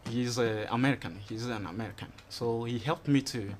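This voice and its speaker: A man's voice: mid-range voice, brisk, a little hiss or hum. A young man from Ghana in his twenties, answering questions in a TV studio interview and rambling with frequent "you know" and "you understand". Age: 20s. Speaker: interview guest. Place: TV studio set.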